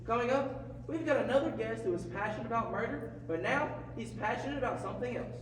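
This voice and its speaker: Man doing very high-pitched jovial co-host. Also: quick pace. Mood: genial, teasing. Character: jovial, folksy male radio co-host puppet. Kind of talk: comedic radio talk show skit. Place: live performance in a large hall. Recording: very echoey hall, distant mic, faint noise.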